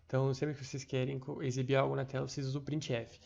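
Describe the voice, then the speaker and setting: A young man from Brazil giving a screen-recorded programming lesson, halting, with many fillers and restarts. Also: mid-range voice, completely flat delivery, vocal fry, a little mellow. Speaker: tutor. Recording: dull lo-fi mic.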